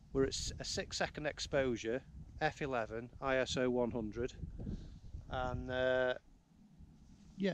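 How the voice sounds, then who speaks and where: A man's voice: medium pitch, a little hiss or hum. A middle-aged man talks casually as he walks and shoots photos outdoors on open moorland. He fills his talk with ums and restarts, and he speaks close to a handheld camera. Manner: hesitant, many ums and restarts. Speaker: landscape photographer vlogger. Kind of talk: casual on-location vlog talk. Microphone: camera held close to face.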